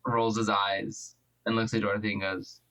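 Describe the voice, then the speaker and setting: A man playing a tabletop game, casually discussing it in a halting way, insistent on details. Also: lowish voice, flat delivery, a bit bright, low-quality recording.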